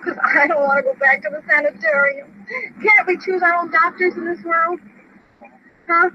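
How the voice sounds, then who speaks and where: A frightened woman with a very high, very sharp voice. She pleads tearfully and repeats herself in agitation. The old radio field recording is muffled and lightly noisy. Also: moderate pace. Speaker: escaped sanitarium patient held by police. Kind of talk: distressed conversation recorded live on a police ride-along.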